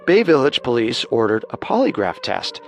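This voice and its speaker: A man co-hosting a podcast, reading scripted true-crime narration in a measured storytelling manner. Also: medium pitch, sing-song, creaky, soft background music.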